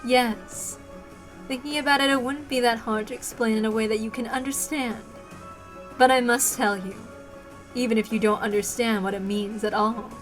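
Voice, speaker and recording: A woman voicing a delirious, self-deluded villainess in a visual novel, coy, exalted and deliberate. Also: fairly high pitch, fairly even intonation, faint music behind, close to an everyday voice, poor audio. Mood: coy, calm.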